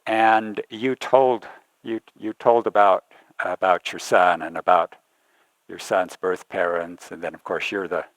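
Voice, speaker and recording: A man's voice: low voice, steady tone, unhurried, no echo, rough recording. A man interviewing a church member, hesitant and repeating words as he asks questions.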